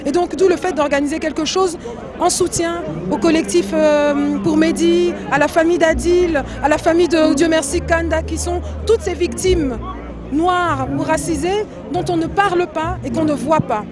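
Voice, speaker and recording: A woman's voice: very high-pitched, steady tone, normal speed. A woman organizer explaining the aims of a protest, with hesitant fillers. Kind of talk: interview answer. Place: outdoors at a rally. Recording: music and crowd behind.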